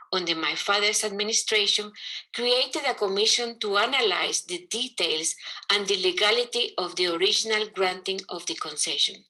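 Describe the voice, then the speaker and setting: A middle-aged woman with a Guatemalan accent reading a prepared presentation in a measured, formal manner. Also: mid-range voice, sharp tone, rough recording. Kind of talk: scripted narration.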